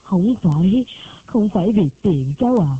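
A woman's voice: medium pitch, lively intonation, measured pace, slightly rough, dry recording. A woman voicing a sick, anxious elderly grandmother who gives insistent, urgent instructions in a storybook reading. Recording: tinny phone-like recording.

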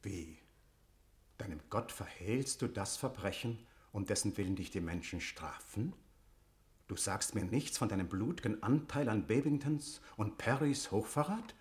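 A devout priest in a classical radio drama. The man's voice is fairly low. He declaims verse with liturgical gravity, solemn and insistent as a confessor. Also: lively intonation, unhurried, darkish tone. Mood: urgent warning.

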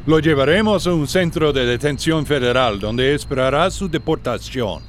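An actor playing a stern, sceptical immigration officer in a radio drama, curt and commanding. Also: male voice, medium pitch, moderate pace, a little hiss or hum, lightly acted. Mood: cold, official.

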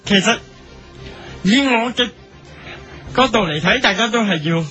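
A man's voice: sits high, lively intonation, over music. A man playing a rambling, repetitive observatory chief in a parody news soundbite, with a Hong Kong Cantonese accent. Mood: bumbling. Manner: halting, repetitive. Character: rambling, bumbling male observatory chief giving a statement.

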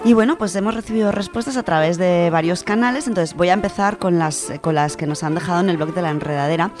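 Woman from spain w/ spanish accent doing casual radio chat, lowish voice, music playing behind. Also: fast talker. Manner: chatty, informal, colloquial. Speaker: radio show presenter. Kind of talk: casual radio chat, reading out listener contributions.

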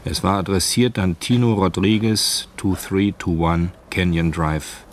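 Male narrator, very low voice, sober, measured, scripted radio drama, faint background ambience. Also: steady tone, slight background noise.

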